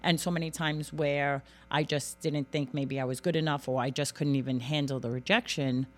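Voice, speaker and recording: A woman's voice: low voice, steady tone, moderate pace. Woman host, casual and earnest, with a faint music bed behind her. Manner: heartfelt, many "like" and "you know" fillers. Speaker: podcast host. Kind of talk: casual group chat.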